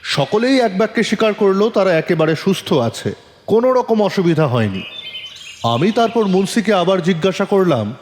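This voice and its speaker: Man acting a probing police inspector, very animated delivery. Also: high-pitched, moderate pace, slight background noise. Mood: suspenseful, measured. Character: composed, probing male police inspector recounting his case. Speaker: narrator and lead actor in an audio story. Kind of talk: dramatic first-person detective story narration. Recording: long reverb, music underneath.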